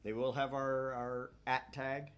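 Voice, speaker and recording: A man hosting a gaming livestream, chatting casually and plugging things in a rambling, halting way. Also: medium pitch, little pitch movement, fairly bright tone, clean tone. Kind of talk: casual stream chat and promotion. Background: background music.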